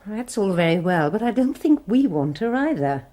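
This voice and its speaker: An actress playing an earnest, somewhat uncertain nun in charge of a mission, in a radio drama. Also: female voice, medium pitch, big pitch swings, moderate pace, warm tone, lightly acted. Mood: firm. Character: earnest young nun, Sister Superior.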